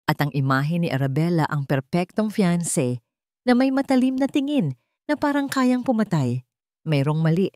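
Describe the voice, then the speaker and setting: A woman narrator reading a scripted romance drama in a measured, dramatic storytelling manner. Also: fairly low pitch, very animated, darkish tone, no echo, studio quality.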